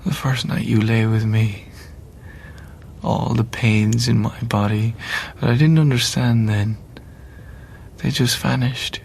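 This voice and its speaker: A terminally ill man in an intimate radio drama. His voice is middling in pitch and full of creak. He talks tenderly and wryly, at peace, over faint background noise. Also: fairly even intonation, slow pace. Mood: tender, grateful. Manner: gentle, reassuring, wry. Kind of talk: radio play acting, intimate dialogue.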